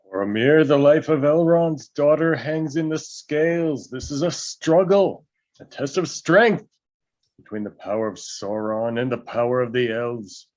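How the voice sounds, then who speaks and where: A man's voice: mid-range voice, measured pace, darkish tone, highs cut off, natural voice. A man playing a grave, urgent wise counsellor in a fantasy table read, dramatic and portentous. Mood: stern, urgent.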